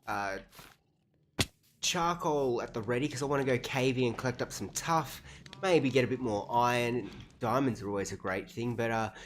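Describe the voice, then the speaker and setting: A chatty Australian streamer in their late twenties, talking through a game at a mid-range pitch. The delivery rambles with fillers and turns self-deprecating now and then, with a faint hum of ambience behind. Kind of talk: casual livestream commentary while playing.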